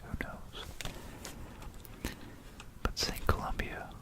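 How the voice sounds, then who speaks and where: A whispered voice delivers an ASMR history talk at a very unhurried pace. The delivery is informal and musing, with self-corrections along the way. It is captured close on a binaural microphone. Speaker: ASMR video creator. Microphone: close stereo binaural microphone.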